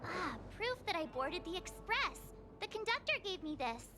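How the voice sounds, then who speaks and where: Very high, bubbly teenage girl. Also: normal speed, soft background music, heavily acted. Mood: puzzled. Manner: expressive, girlish, exclamatory. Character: bubbly, curious, naive teenage girl adventurer. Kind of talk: scripted anime-style video game dialogue.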